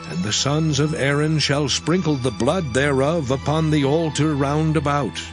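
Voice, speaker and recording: Solemn male Bible reader over music. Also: mid-range voice. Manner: solemn, measured, archaic wording. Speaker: scripture reader. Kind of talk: dramatized Bible reading. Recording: music playing behind.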